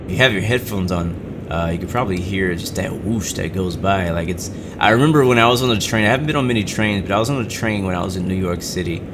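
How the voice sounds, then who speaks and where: A man rambling casually while playing a game, with lots of fillers and restarts. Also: fast talker. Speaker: gaming channel host. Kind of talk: casual gameplay commentary. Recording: game audio rumble behind.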